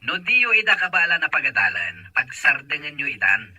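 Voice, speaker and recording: A man's voice: a little high, very animated, fairly quick, sharp tone, no room sound, heavily acted, poor audio. A man playing an irresponsible, irritable and dismissive older brother with a Filipino Ilocano accent in an audio drama. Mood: irritated, dismissive.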